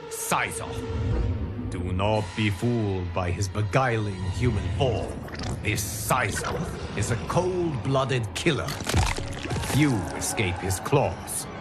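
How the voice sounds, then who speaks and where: Grave male guardian, stern, slow measured delivery, formal dramatic game narration, loud music underneath. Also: mid-range voice, animated. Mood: stern, warning. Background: loud cinematic music.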